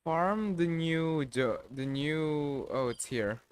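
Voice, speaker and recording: A man's voice: a little high, slow pace, clean tone. A man livestreaming a game with casual, blunt, sweary, laid-back commentary.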